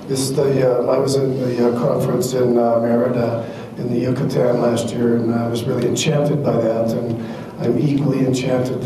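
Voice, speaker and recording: A man introducing himself as a doctoral student, talking haltingly with many fillers. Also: medium pitch, background noise. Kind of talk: self-introduction at a meeting. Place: meeting room. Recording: echoey room, distant mic.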